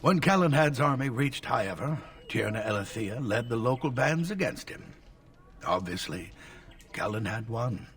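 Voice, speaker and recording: Elderly male tutor for a fantasy game: measured, husky, expressive, easily exasperated, slightly distant. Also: mid-range voice. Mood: lecturing. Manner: measured, lecturing, formal. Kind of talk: video game voice acting.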